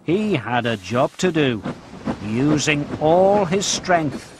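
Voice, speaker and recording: A man narrating a children's story in an expressive, simple way, with short prompts addressed to young listeners. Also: on the high side, slow pace, noisy background.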